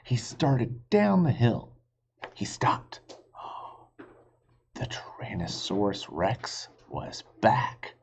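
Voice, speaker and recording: A man's voice: mid-range voice, huge pitch swings, extremely slow, vocal fry, long pauses, darkish tone, whispered. A man reading a children's storybook aloud expressively, like a teacher, on a slightly muffled recording. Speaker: reader, teacher.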